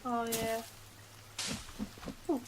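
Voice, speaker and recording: A woman's voice: on the high side, very slow, a little hiss or hum, rough recording. A woman with a cold, chatting playfully while co-hosting a video game playthrough at home. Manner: playful, excitable. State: has a cold. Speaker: gaming co-host. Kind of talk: casual let's-play chat.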